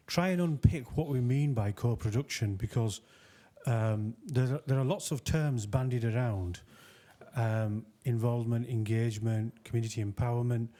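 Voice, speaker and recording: A man on a research panel, explaining his work in a relaxed way with many fillers. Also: medium pitch. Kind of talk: panel discussion answer.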